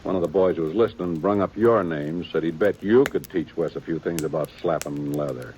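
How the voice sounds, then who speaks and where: An actor playing a weary, dutiful aging small-town sheriff with a plain folksy drawl in a 1950s radio drama. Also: male voice, sits low, brisk, darkish tone, lightly acted, poor audio. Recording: old radio broadcast with faint hiss.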